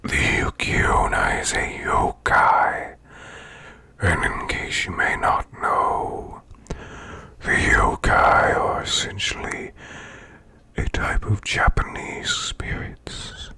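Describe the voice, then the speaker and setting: Casting: haughty male demon CEO for ASMR roleplay, harsh-edged breathy growl, wildly swooping whispery delivery, deliberate and soothing. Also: extremely high pitch, huge pitch swings, sharp tone, full character voice. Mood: soothing, sinister. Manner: slow, pompous, formal, deliberate.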